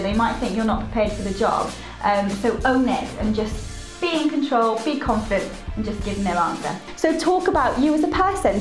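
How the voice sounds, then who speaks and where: A woman giving career advice to camera in an instructive, list-like way. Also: fairly high pitch. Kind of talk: direct-to-camera advice video. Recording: echoey room with music behind.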